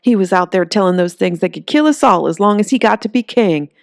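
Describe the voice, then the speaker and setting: A woman's voice: medium pitch, animated, fairly quick, creaky, no echo, clean studio sound, voice pushed up. A woman voicing a flustered young man, a reluctant hero who blurts defensively, in an audiobook. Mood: bitter.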